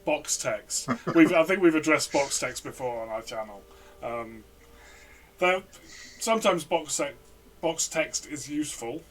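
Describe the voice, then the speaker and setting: A man co-hosting a casual review discussion, giving measured, softened opinions and often chiming in to agree. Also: on the high side, animated. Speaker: review show co-host.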